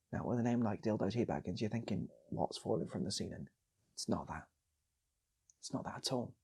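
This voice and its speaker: A man improvising a comic story in a soft, breathy ASMR style, often repeating phrases and slipping into whispers. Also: lowish voice. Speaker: storyteller, channel host.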